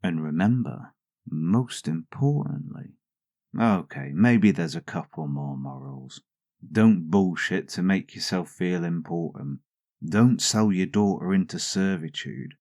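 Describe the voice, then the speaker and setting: A man with a low voice telling stories in a theatrical, wry manner. His intonation moves around a lot, and the recording is close and dry. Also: animated, slow pace, a little mellow, dry recording. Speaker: storyteller, show host. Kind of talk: dramatic fairytale narration with sardonic commentary.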